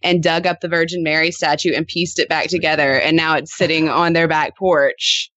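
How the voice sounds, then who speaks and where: A woman in her thirties chatting casually and telling anecdotes in an animated way as a podcast guest. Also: low-pitched, normal speed. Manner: animated storyteller, peppers talk with "like".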